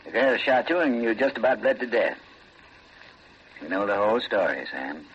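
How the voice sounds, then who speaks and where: A man's voice: on the low side, normal speed, natural voice. A man acting a sober, authoritative police chief in a 1940s radio drama, terse and grave. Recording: muffled, faintly noisy vintage radio.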